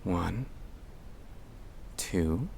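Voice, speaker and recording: A man speaking softly and soothingly, often slipping toward a whisper, as he gently guides breathing in a sleep-aid ASMR style. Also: sits low, expressive, drawn-out words, creaky, a little hiss or hum. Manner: soft-spoken, soothing, often dropping into whispers. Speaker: ASMR creator guiding breathing exercises.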